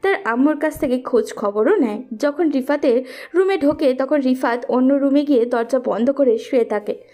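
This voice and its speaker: High, mellow woman narrator. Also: moderate pace. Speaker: story narrator. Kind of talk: scripted romantic story narration.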